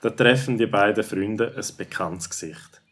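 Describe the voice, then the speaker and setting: A man with a distinctly low voice and a Swiss accent. He narrates a children's story as its scripted storyteller. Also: moderate pace, fairly bright tone.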